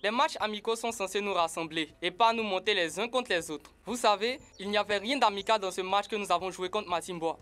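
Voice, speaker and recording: A woman's voice: medium pitch, a little fast, few pauses, fairly bright tone, soft background music, lightly acted. A woman voicing a kind, peacemaking child in a French-dubbed educational cartoon. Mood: earnest.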